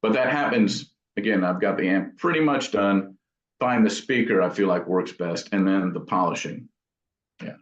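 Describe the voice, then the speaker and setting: A man with a fairly low voice talks as an interview guest. His explanations are casual and wander a bit. The sound is dull and carries noticeable room echo. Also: normal speed. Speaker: guitar amp builder and guest. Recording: dull, roomy, echoing audio.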